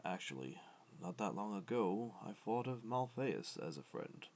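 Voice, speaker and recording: A man voicing a gentle, ancient god who speaks softly, tenderly and with measured hesitation, in an ASMR comfort roleplay. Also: sing-song, exaggerated voice. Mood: wistful, candid. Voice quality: low, soft, creaky, breathy at times.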